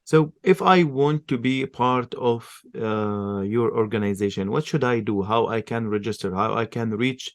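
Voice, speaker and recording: A man's voice: mid-range voice, normal speed, hardly any pauses, dry recording, studio quality. A man hosting an interview, polite and a little hesitant with fillers as he asks questions. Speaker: interviewer, host. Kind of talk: hosted interview.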